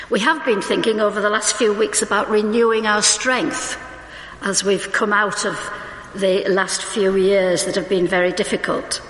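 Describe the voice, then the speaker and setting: A woman preaching a sermon in a church, conversational and reading scripture slowly, suffering from hay fever. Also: medium pitch, a bit bright. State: hay fever, may cough. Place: church hall. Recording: roomy church with long reverb.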